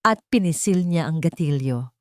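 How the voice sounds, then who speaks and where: Low-voiced woman narrating a dramatic story, dry sound. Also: lively intonation, normal speed, no echo. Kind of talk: dramatic scripted first-person story narration.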